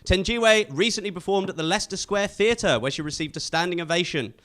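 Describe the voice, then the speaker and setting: A man's voice: sits high, fairly quick, a bit bright. A man hosting a comedy panel show, reading scripted jokes to a live audience with punchy timing.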